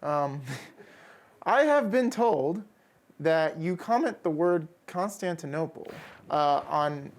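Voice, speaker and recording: A man's voice: a little high, expressive, takes it slowly. A young man hosting a campus TV studio interview, bubbly and playfully hammy. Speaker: student host.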